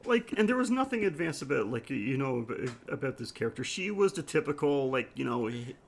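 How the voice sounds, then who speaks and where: Give me a male podcast host with a medium-pitched voice, casual, sweary and quick to laugh in a film discussion. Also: sing-song, creaky. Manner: laughs easily while talking. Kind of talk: casual film review chat.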